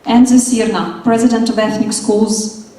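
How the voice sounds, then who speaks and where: A woman's voice: on the high side, level tone, moderate pace, dark tone, slight background noise. A woman interprets speeches and introduces guests in short, formal lines. Her voice carries through a very echoey hall. She sounds distant from the microphone. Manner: brief, formal announcements. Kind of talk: event interpreting.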